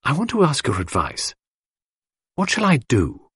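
A man voicing a wistful, teasing young orphaned woman in an audiobook reading, lifting his husky voice into a lilting girlish tone. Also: on the high side, wildly swooping pitch, unhurried, fairly bright tone, no room sound, clean studio sound, voice pushed up, full character voice.

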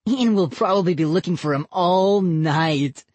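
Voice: mid-range voice, a little slow, darkish tone, no room sound, pitched above the performer's own voice, natural voice. A narrator voicing a smug, giggly prankster boy in an audiobook. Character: boastful prankster boy Vinny.

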